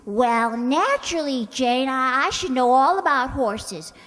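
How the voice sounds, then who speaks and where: A woman's voice: high-pitched, expressive, measured pace, a bit bright. A woman playing a scatterbrained, naive and cheerfully confident young working woman in a radio comedy, with an earnest, guileless manner. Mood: earnest, naively confident. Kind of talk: radio sitcom acting. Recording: echoey hall, long reverb.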